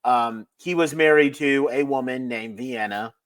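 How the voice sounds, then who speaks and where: A man with a medium-pitched voice leads a casual review as a podcast co-host. His delivery is unscripted and halting, full of filler words and restarts. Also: fairly bright tone.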